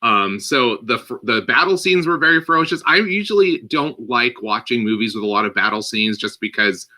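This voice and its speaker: Bright-voiced man in casual chat. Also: mid-range voice, fairly quick. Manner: animated storytelling with many "like" fillers. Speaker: podcast co-host.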